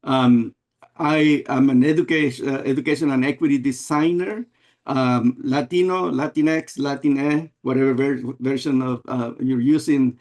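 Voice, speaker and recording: An older man from America, giving an unhurried webinar presentation over a video call and often restarting his phrases. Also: medium pitch, steady tone, a little mellow. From Guatemala and El Salvador. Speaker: guest presenter, math educator.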